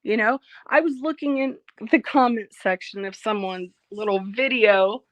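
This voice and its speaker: A woman hosting a casual livestream, reading out viewer comments and speaking frankly about her life, slightly hoarse as she loses her voice. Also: on the high side, moderate pace, dry recording, rough recording. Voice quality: frank, blunt, swears freely. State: losing her voice, a bit hoarse. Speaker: livestream host.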